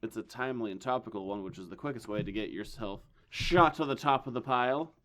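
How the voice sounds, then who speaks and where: A man hosting a casual comics podcast, hoarse from losing his voice, with dry wisecracks. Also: mid-range voice, fast talker, fairly bright tone. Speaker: podcast host. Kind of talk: casual chat.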